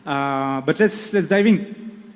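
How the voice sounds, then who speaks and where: Upbeat man moderating a panel. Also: a little high. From France. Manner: upbeat, halting with many fillers. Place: conference stage in a large hall. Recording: long hall reverb.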